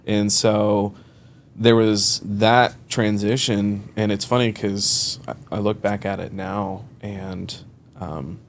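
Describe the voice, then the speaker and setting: A man's voice: on the low side, level tone, takes it slowly, gravelly, slight background noise. A man in his early thirties, a loan officer, telling his career story in a casual interview, acting out quoted conversations. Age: 30s. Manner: rambling storyteller, acts out quoted dialogue, laughs often. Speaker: guest, mortgage loan officer.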